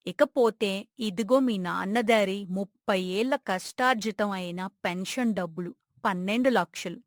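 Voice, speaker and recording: A woman's voice: mid-range voice, no room sound, clean studio sound, lightly acted. A woman playing a grieving, dignified widowed mother who speaks solemnly and firmly to her grown children in a moral story. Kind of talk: dramatized moral story acting.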